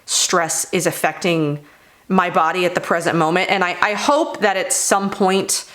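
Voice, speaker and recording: Young adult woman, beauty vlogger, fairly low voice, a touch hoarse, loose and filler-heavy delivery. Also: unhurried, a bit bright. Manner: rambling, many fillers like "like" and "you know". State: hoarse after laryngitis. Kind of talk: casual product review chat.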